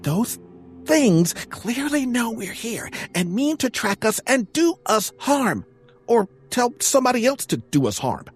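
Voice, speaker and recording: A man's voice: very high-pitched, sing-song, a little slow, slightly rough, exaggerated voice. A man playing a theatrical young adventurer in an audio drama, narrating a quest in florid, dramatic style. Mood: urgent. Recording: music behind the voice.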